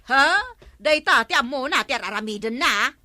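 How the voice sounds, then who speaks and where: An actress with an Ilocano accent playing a bickering village councilor in a radio comedy drama. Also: female voice, high voice, animated, normal speed, bright, forceful tone, heavily acted. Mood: flustered.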